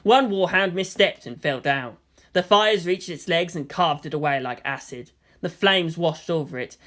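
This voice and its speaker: A woman's voice: fairly low pitch, normal speed, a bit bright. A woman narrating fan fiction in a dramatic storytelling style.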